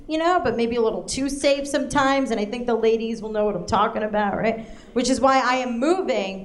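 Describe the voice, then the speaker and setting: A woman performing a stand-up comedy set, chatty and often checking in with the audience. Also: a little high. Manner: chatty, asks the crowd "right?".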